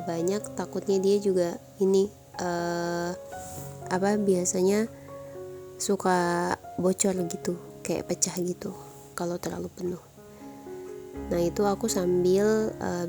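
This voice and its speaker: A woman with a nasal, congested voice from a cold, casually explaining a recipe step by step in a voice-over. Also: lowish voice. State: stuffy nose from flu. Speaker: home cook presenting a recipe. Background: background music.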